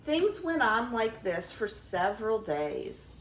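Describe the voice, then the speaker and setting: An older woman reading a fairy tale aloud as a grandmother would, with theatrical, expressive emphasis. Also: fairly high pitch, takes it slowly, echoey room, slight background noise, poor audio. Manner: theatrical, dramatic storytelling with emphasis.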